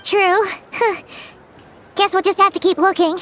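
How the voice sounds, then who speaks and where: A woman playing a spirited young girl who bosses a tinkering shop in a video game, childlike, blunt and expressive. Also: very high voice, slow, a little hiss or hum, heavily acted. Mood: thoughtful, eager.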